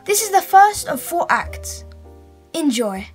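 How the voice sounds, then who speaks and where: High-pitched woman radio announcer. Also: big pitch swings, slow, soft background music. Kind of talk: scripted radio drama introduction.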